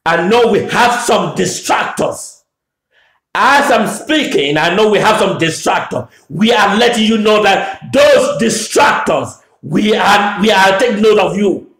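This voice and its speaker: Man from anglophone cameroon yelling a political speech, high voice, roomy echo. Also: lively intonation, measured pace. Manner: shouting, repetitive declamatory oratory. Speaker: political activist making a public address. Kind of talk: fiery political address. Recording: echoey room.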